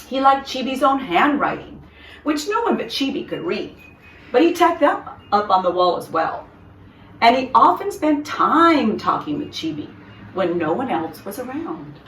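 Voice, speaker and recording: Echoey, expressive woman reading a storybook. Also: fairly high pitch, measured pace, a little hiss or hum. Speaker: storyteller reading a children's picture book. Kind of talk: expressive read-aloud of a children's story. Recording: roomy echo, distant from microphone.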